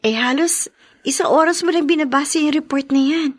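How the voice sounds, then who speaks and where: A woman's voice: sits high, a little fast, no echo, natural voice. A woman acting a chatty, concerned, probing friend in a Tagalog radio drama. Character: nosy, concerned female friend, lively and chatty.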